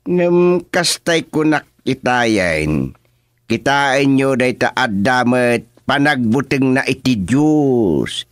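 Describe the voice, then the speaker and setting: A man's voice: mid-range voice, sing-song, slow, dry recording, studio quality. An actor playing a plain-spoken, easily exasperated village chairman in a rural comedy radio drama. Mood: amused, deliberate.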